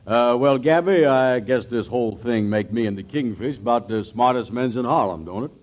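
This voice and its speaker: An actor playing a gullible, self-important, slow-witted man in a 1940s radio comedy. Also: mid-range voice, natural voice. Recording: muffled 1940s radio recording.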